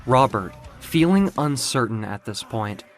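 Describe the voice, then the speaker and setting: Male narrator for dark fiction, medium pitch, dramatic and suspenseful, with music underneath. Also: big pitch swings, unhurried. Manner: theatrical, suspenseful. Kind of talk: dramatic scripted story narration.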